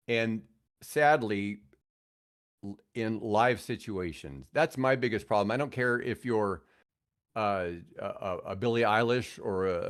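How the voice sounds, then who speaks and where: A man in his sixties with an American accent, chatting and telling stories casually as a podcast guest, with an opinionated manner. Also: on the low side. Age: 60s. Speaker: podcast guest, radio host. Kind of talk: casual chat, rambling storytelling.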